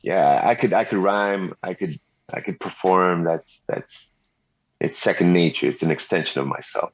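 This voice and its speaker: A middle-aged man from Montreal with a very deep, husky voice tells his story in a relaxed way. His talk is loose and full of slang and fillers like "you know." The recording sounds a little dull and lo-fi. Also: moderate pace. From Montreal, Canada. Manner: laid-back street slang, many fillers like "you know". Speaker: rapper and music producer, guest. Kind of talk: casual interview storytelling.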